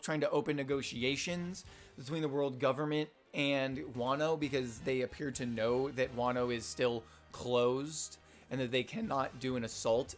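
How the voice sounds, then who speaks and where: A young man with a slightly hoarse voice, rambling casually through a manga chapter review with lots of fillers and restarts. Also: on the high side. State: slightly hoarse, losing his voice. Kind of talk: casual unscripted commentary.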